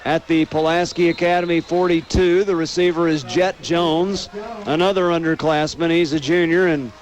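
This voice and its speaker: A man's voice: on the high side, fairly even intonation, moderate pace, slight background noise. An American man doing live radio play-by-play commentary of a football game.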